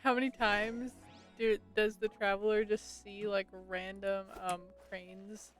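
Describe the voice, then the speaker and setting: Casual woman streamer, mid-pitched voice. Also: little pitch movement, slow, faint music behind. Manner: playful, reacting aloud to the game. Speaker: game streamer. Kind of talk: casual live stream commentary.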